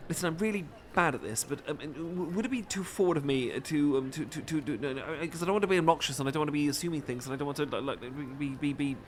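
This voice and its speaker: A man's voice: on the high side, fast, hardly any pauses, slight background noise, natural voice. A man with a British accent playing a flustered, bashful, adorkable romantic who stammers and rambles apologetically in an intimate ASMR roleplay. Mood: hesitant, hopeful. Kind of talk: intimate ASMR roleplay acting, addressed to listener.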